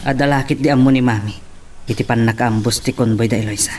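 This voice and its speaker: A man's voice: medium pitch, steady tone, fast, darkish tone, slight background noise, natural voice. A man playing a troubled, earnest son of a powerful family in a radio-style drama.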